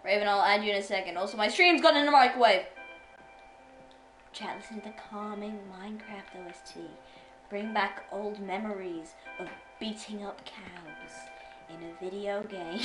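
A woman's voice: mid-range voice, a bit bright. Chatty teen girl streamer, echoey. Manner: chatty, teasing, many fillers. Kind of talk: casual gaming stream chat. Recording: echoey room, faint music.

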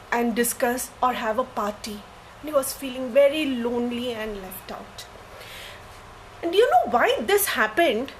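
A middle-aged woman telling a story to children in a large hall, animated and asking them questions. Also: high voice, slight background noise.

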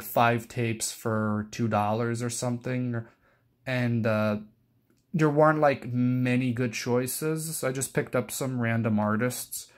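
A young adult man giving a casual, unscripted show-and-tell, rambling hesitantly and often correcting himself. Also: mid-range voice. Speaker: collector presenting his cassette collection.

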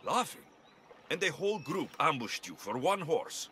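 An actor playing a righteous young warrior in a video game, intense, confrontational and protective. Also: male voice, a little high, sing-song, moderate pace, bright, forceful tone, slight background noise. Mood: concerned. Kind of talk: dramatic video game acting.

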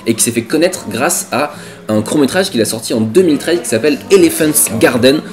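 A man's voice: medium pitch, lively intonation, a little fast, a little mellow, soft background music. A man in his twenties with a French accent, co-hosting a web show in a casual, slangy, joking way. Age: 20s. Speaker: co-host of a web culture show. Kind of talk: casual chatty presenting to camera. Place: diner booth with red seats.

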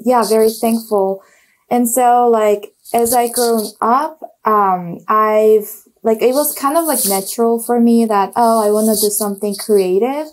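Young Korean-accented woman, halting. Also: medium pitch, poor audio. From South Korea. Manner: many fillers and restarts, searching for words. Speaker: guest, designer. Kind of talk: casual interview chat. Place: small home room with bookshelves. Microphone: earphone mic on video call.

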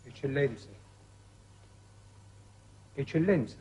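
A man playing a stern, coldly dutiful military colonel with a husky voice, formal and commanding, in 1960s Italian television drama. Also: medium pitch, animated, slow, warm tone, exaggerated voice. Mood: cold, pressing.

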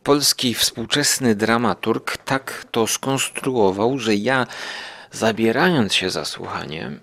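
A man with a fairly low, creaky voice reviews things informally as a podcast host. He speaks slowly and haltingly, often rephrasing as he goes. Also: sharp tone.

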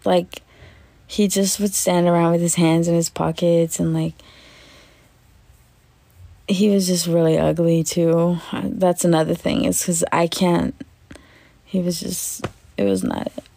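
A young woman with a self-described deep voice, her tone low, mellow and full of vocal fry. She chats loosely into a phone held at arm's length while lounging in bed, filling her sentences with "like." The sound is close and dry. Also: measured pace, pauses often, no echo. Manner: chatty, filler-heavy, lots of "like". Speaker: livestreamer. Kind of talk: casual livestream chat reading comments. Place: bedroom, lying on a bed indoors.

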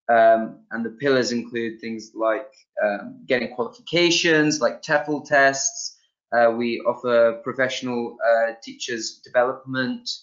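A man's voice: medium pitch, a bit bright. Young guy running a webinar over a video call, lots of ums and restarts, kinda muffled dull audio. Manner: loose, thinking aloud with many ums and restarts. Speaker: webinar presenter. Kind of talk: online teaching webinar. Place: small room indoors.

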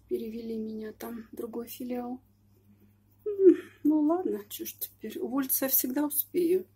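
A middle-aged woman chatting to her phone camera by a high-rise apartment window, rambling and matter-of-fact. Her voice sits a bit high but sounds mellow and very husky, with muttered asides dropped in. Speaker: vlogger. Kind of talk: casual personal monologue. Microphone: phone camera pointed out the window.

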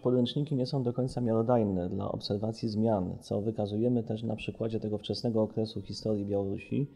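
A man's voice: lowish voice, fairly even intonation, brisk, gravelly, dark tone, husky, faint music behind. A man speaking as an analyst in an interview, explaining with many qualifications and self-corrections. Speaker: policy analyst and report author.

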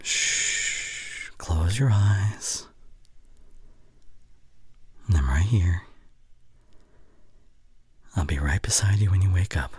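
A young man playing a caring, anxious but reassuring boyfriend in an intimate ASMR audio roleplay, speaking in a tender, halting way with a breathy voice that often drops into whispers. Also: sits low, exaggerated voice. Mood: soothing, tender.

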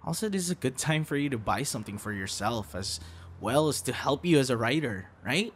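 A man's voice: medium pitch, expressive, a little fast, slight background noise, close to an everyday voice. A young man acting a teasing, friendly boyfriend figure in an intimate, casual audio roleplay. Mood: casual, playful. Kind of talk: ASMR boyfriend roleplay, intimate one-sided dialogue.

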